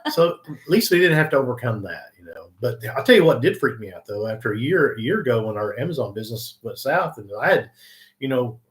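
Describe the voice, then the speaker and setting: A man in his sixties with an Oklahoma accent, co-hosting a live online session in a folksy, rambling way full of "you know"s and throat clears. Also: medium pitch, big pitch swings, quick pace. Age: 60s. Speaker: co-host of a live online training. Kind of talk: casual live-stream chat.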